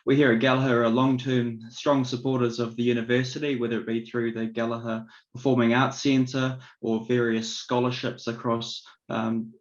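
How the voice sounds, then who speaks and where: A man's voice: medium pitch, level tone, moderate pace, few pauses. A man giving a businesslike corporate self-introduction in an echoey, muffled recording. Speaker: chief executive, competition judge. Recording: echoey room, dull muffled sound.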